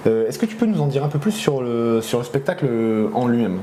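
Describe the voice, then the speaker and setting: A man's voice: mid-range voice, expressive, brisk, mellow. A man interviewing casually, with informal, hesitant phrasing. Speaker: interviewer for local media. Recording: roomy echo, faint background noise.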